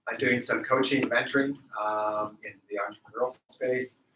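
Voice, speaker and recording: An older man, a retired executive and mentor, introducing himself to a group in a measured, halting way. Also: mid-range voice, steady tone, sharp tone. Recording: echoey room, distant, faint noise.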